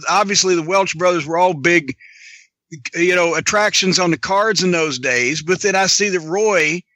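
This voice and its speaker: A man with a high, forceful voice hosts a podcast interview. He is conversational and wordy as he works toward a question, and the sound is dry and close. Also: normal speed, no room sound.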